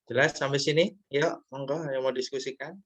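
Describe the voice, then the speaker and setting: Male lecturer, bright and forceful, casual and guiding on a video call. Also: mid-range voice, steady tone, moderate pace. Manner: casual, guiding with rhetorical questions. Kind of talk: online class discussion.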